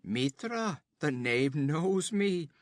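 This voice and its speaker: A man voicing a hard, boastful outlaw chief who gives orders in a raised, loud voice. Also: a little high, very animated, a little slow, dry recording, studio quality, voice pushed up. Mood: swaggering. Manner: boastful, commanding.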